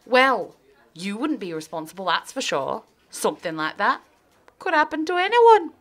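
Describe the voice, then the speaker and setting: Woman doing a cheeky girl, slangy and teasing, middle pitch. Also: very animated, moderate pace, lightly acted. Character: cheeky streetwise young woman.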